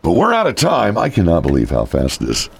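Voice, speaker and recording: A male talk radio host with a raspy voice, giving opinionated political commentary. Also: sits low, huge pitch swings, fairly quick. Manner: opinionated, reads quotes then rebuts them. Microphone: studio microphone.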